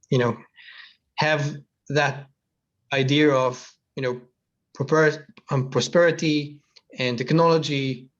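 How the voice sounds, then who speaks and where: A man with an Israeli accent speaking on a panel over a video call, thinking aloud with many fillers. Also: medium pitch. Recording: muffled video call audio.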